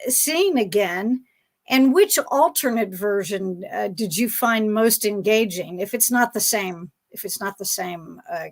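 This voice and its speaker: A woman moderating a fan panel discussion in a conversational way. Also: a little high.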